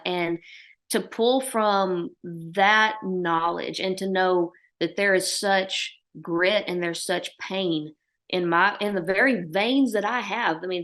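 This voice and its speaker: A woman in her thirties from Oklahoma with an Oklahoma accent, a country musician answering interview questions in a lively, folksy storytelling way. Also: fairly low pitch.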